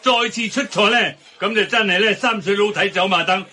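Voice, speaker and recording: An actor playing a grumbling, penny-pinching restaurant boss with a scolding Hong Kong Cantonese delivery in a radio drama. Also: male voice, high-pitched, a little fast, pushed and bright, slight background noise. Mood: sarcastic, stern. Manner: scolding, sarcastic.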